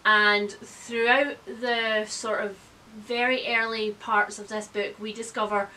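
A woman in her thirties giving an informal, animated book review, chatting indoors. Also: on the high side, takes it slowly, rough recording. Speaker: book vlogger. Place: indoor room with bookshelves.

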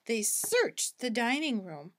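A woman reading a children's picture book aloud in an expressive, dramatic storytelling manner, like a preschool teacher. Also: on the high side, takes it slowly.

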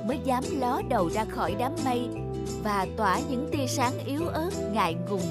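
A woman narrating a scripted children's story in a measured way. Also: fairly high pitch, expressive. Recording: loud music behind the voice.

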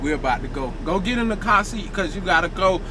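An excited, playful American vlogger talks casually to the camera and tends to repeat the same phrase several times. The voice sits at a middling pitch, caught on a handheld recording with clear vehicle and street noise. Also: fairly quick. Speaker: prank vlogger.

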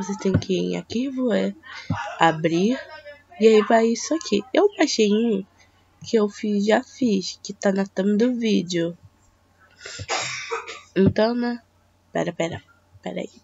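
A teenage girl from Brazil with a Brazilian Portuguese accent, hoarse from a cold, chatting hesitantly through a drawing tutorial at home. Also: medium pitch, unhurried, long pauses, mellow. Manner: chatty, hesitant, talks to viewers as "gente". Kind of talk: casual screen-recorded tutorial. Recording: dull lo-fi mic with faint hiss.

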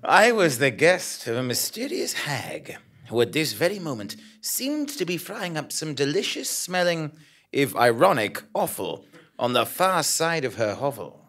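A self-important journalist narrator played by a man in a comic audio play. His pitch swings wildly and his delivery is pompous and melodramatic. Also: very animated, a little slow, a bit bright, exaggerated voice. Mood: pompous narration. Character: self-important, easily terrified male investigative journalist narrator. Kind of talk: comic first-person narration in live audio drama.

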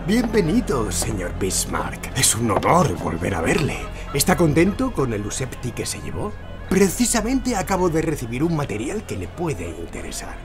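An actor playing a flattering, unctuous Lebanese antiques dealer in an audio drama, speaking theatrically. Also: male voice, medium pitch, moderate pace, over music. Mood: ingratiating. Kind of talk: Spanish audio drama acting.

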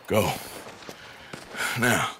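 A man with an American accent playing a weary, dying, stubborn outlaw in a western game, halting but resolute. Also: bright, forceful tone, slight background noise. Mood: weary pleading. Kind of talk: cinematic western game acting.

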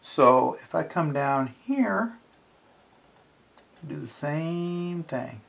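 A man's voice: fairly high pitch, expressive, drawn-out words, gravelly, fairly bright tone. A middle-aged man giving a casual hands-on tutorial, informally thinking aloud as he talks himself through each step. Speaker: instructor. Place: indoors at a wooden workbench.

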